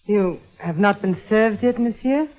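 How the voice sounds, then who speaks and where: A woman's voice: mid-range voice, unhurried, darkish tone, soft background music, lightly acted, rough recording. A woman playing a cool, flirtatious nightclub singer in a 1950s radio drama, coy and suggestive. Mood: coolly flirtatious.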